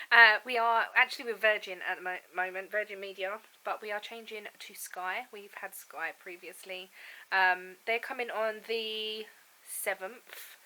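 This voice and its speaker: A woman's voice: medium pitch, bright, forceful tone, rough recording. A woman with a cold and a froggy, bunged-up throat, chatting casually and rambling to camera from her home. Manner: rambling and chatty, laughs often. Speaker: vlogger. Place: at home indoors.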